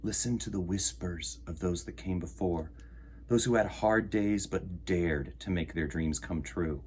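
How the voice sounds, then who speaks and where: Low-voiced man reading a storybook aloud. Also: unhurried, a little mellow, slight background noise. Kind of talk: children's picture book read-aloud with chatty introduction.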